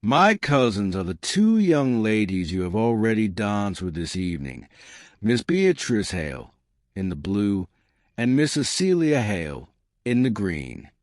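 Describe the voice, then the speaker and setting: A composed young gentlewoman of a period romance, voiced by a male narrator in his low register, speaks frankly and without hurry. Her dry wit comes out matter-of-fact, in a close, dry audiobook sound. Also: big pitch swings, unhurried, no echo. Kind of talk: audiobook character dialogue.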